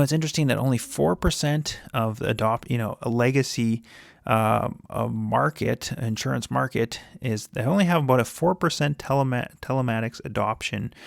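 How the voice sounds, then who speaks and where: Gravelly-voiced man, informal solo commentator. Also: medium pitch, no echo, studio quality. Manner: thinks aloud, often rephrasing himself. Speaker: investing commentator and show host. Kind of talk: informal solo commentary on a company earnings call.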